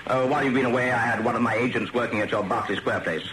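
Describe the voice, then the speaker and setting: An actor playing a ruthless British military intelligence chief in a radio spy drama, clipped and authoritative. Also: male voice, medium pitch, fairly even intonation, very fast, fairly bright tone, slight background noise, natural voice, low-quality recording. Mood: cool, authoritative.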